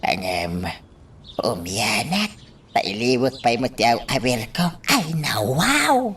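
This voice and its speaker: A man acting as a loyal, excitable older uncle in an Ilocano radio drama, with an Ilocano accent and frequent exclamations. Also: mid-range voice, takes it slowly, slightly rough, heavily acted. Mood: startled, chatty. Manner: exclamatory, sighing interjections. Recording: distant mic, faint background noise.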